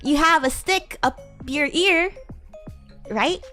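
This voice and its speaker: Woman playing a high-pitched bratty demon schoolgirl. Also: bright, forceful tone, soft background music, full character voice. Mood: curious, condescending. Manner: teasing, condescending, giggly, halting improvisation. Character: bratty, haughty young female demon class president. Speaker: VTuber streamer playing a roleplay character. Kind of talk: improvised in-character livestream roleplay.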